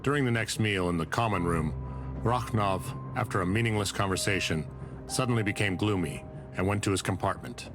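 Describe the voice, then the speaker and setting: A man's voice: deep voice, a little fast, close to an everyday voice. A man narrating a period detective visual novel as a sober storyteller, reading scripted prose. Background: music.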